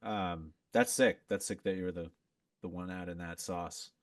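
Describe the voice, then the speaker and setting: A man talking as a podcast guest in a relaxed, rambling way, full of fillers and restarts. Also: low voice, normal speed, dry recording, clean studio sound, distant mic. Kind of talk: casual chat.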